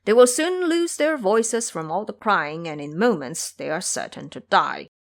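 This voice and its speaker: A woman's voice: medium pitch, lively intonation, moderate pace, close to an everyday voice. Woman playing a grumbling, chattering pig disciple. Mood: complaining. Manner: chattering, complaining.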